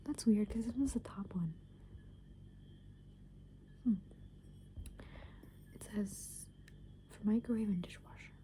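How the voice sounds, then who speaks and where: A young woman whispering close to the microphone, rambling softly and casually with plenty of "like" and "um" as she talks. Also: mid-range voice, slow pace, pauses often, a little hiss or hum. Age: young adult. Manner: soft unvoiced whisper, rambling with many fillers. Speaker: ASMR creator. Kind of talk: whispered ASMR soft rambling.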